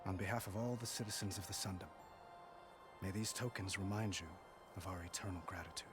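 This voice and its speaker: Male actor for young monarch, video game: low voice, formal, gracious, earnest. Music behind. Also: fairly even intonation, natural voice. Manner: formal, courteous, measured. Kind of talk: dramatic video game dialogue.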